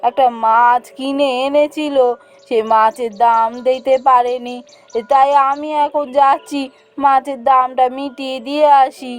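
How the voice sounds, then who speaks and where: Woman playing a high, drawling village housewife. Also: steady tone, a little slow, soft background music. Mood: boastful. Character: chatty, boastful village housewife and mother. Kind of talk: Bengali fairy-tale cartoon dialogue.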